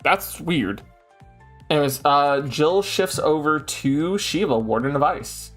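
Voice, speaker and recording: Animated man reading card text. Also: a little high, takes it slowly, soft background music. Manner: animated, reads rules text aloud in bursts. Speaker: streamer host. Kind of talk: casual chat while reading card text aloud. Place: home streaming setup.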